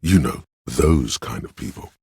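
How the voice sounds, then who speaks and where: A learned, solemn inner voice of trivia for a video game. The pitch is exceptionally deep and the tone heavily husky, recorded close and dry. Delivery is measured and erudite, like scripted narration of obscure facts. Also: male voice, lively intonation, darkish tone, no echo, heavily acted. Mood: dry, informative.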